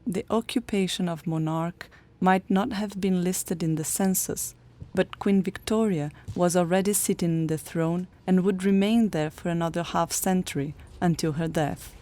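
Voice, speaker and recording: A woman reads scripted documentary narration at an even, measured pace. Her voice is low and mellow. She keeps a steady reading tone.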